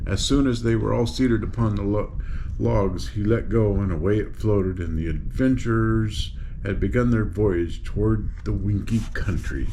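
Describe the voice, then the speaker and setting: An older man reading a children's story aloud in a homely, unhurried way, with small slips. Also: lowish voice, warm tone. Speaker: storybook reader. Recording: clearly noisy room ambience.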